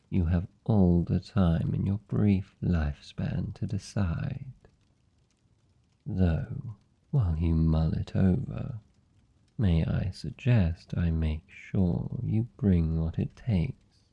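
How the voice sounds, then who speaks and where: A man's voice: sits low, gravelly, husky, lo-fi sound. A British man playing a suave, seductive vampire lord in a fantasy ASMR roleplay, speaking formally and teasingly with commanding, predatory politeness. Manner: teasing, formal, silky and deliberate. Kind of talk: fantasy ASMR roleplay, slow intimate monologue.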